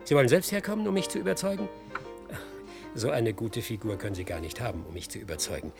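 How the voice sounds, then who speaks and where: An actor in a German radio play, playing a jaded, hard-drinking, ill-humoured middle-aged private detective with a flippant, sardonic manner. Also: male voice, mid-range voice, expressive, quick pace, gravelly. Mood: weary, flirtatious. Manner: sardonic, flippant, rude wisecracking. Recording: studio radio play with music.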